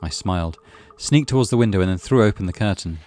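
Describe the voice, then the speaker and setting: A man reading visual novel narration as a gaming host, in an expressive, dramatic way. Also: sits low, fast. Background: music.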